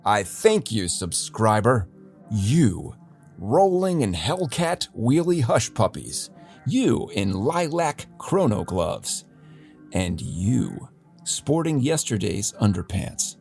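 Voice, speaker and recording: A man's voice: on the low side, very animated, slow pace, gravelly, darkish tone, soft background music, heavily acted. A man playing a wry, defiant news host in a dystopian future city, delivering a satirical scripted newscast in a theatrical, mock-grand way. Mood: sardonic, defiant.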